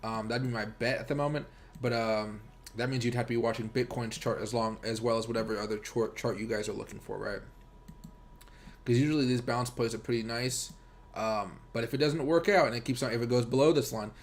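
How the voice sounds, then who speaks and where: Fast-talking American man on a livestream. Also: medium pitch, steady tone. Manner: rambling, informal, addresses viewers directly. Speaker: livestream host and crypto trader. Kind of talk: casual livestream chat and chart commentary.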